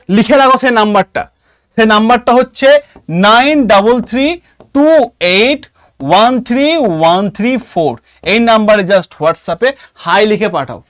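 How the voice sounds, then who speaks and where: A man with an Indian Bengali accent teaching an exam coaching class in a lively, emphatic way, repeating key phrases and questions and often checking that students follow. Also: very high voice, dry recording, clean studio sound. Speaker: history teacher for exam preparation.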